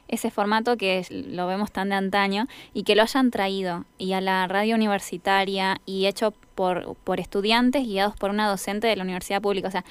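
A young woman with an Argentine accent hosts a radio interview in a conversational way. Her voice is exceptionally clean and resonant, at a middle pitch. The sound is dry, with no room echo. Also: clear, resonant tone. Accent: Argentine Spanish. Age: young adult. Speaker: radio host.

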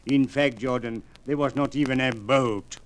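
An actor playing a stern, sceptical Egyptian police official in a radio drama, with formal, deliberate and courteous speech. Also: male voice, mid-range voice, steady tone, lightly acted. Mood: sceptical, dry. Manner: formal, deliberate, interrogating, uncontracted phrasing. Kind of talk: 1940s radio drama acting.

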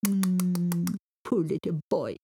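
A woman's voice: lowish voice, steady tone, takes it slowly, mellow, husky, full character voice. A woman voicing a spoiled, devious little girl in a storybook, with mock baby talk and theatrical whining. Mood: mocking, babyish.

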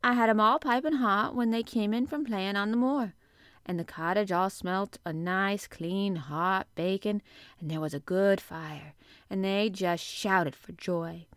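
Storybook role: young Yorkshire housemaid, played by a woman narrator. Cheerful, excitable, chatty dialect, dry recording. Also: mid-range voice, a little slow, no echo, lightly acted. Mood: happy, chatty. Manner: exclamatory, chatty, dialect-speaking.

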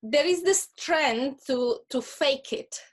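A woman's voice: high-pitched, takes it slowly. A woman with a Russian accent, a guest talking earnestly in a casual interview and often repeating words as she starts a point.

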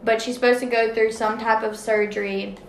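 A woman's voice: a little high, level tone, fairly quick. A young woman co-hosting a casual podcast, joking and teasing her friends. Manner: joking, teasing, laughs a lot. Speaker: podcast co-host. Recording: echoey room, faint noise.

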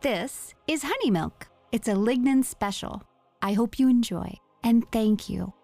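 A bold, curious young girl with a high, lively voice, as a male reader puts her on. She asks direct questions. The recording is dry, with faint music underneath. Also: measured pace, vocal fry, no echo, heavily acted.

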